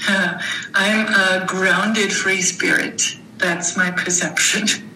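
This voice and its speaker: A woman answering interview questions in a thoughtful, halting way, often restarting her sentences. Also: medium pitch, fairly even intonation, pushed and bright, roomy sound, slight background noise, poor audio. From Germany. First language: German. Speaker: guest, author and mentor.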